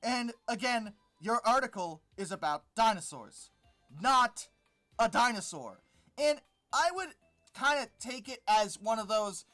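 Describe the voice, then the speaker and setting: An animated video host with a mid-range voice that swings widely in pitch. The commentary is informal, sarcastic and exasperated, with emphatic stress on key words. Music plays behind the voice. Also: measured pace. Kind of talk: informal reaction commentary on a read-out article.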